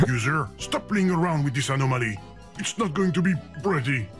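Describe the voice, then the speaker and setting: A man's voice: fairly high pitch, animated, moderate pace, soft background music, natural voice. An actor voicing an exasperated male game character pleading with the player.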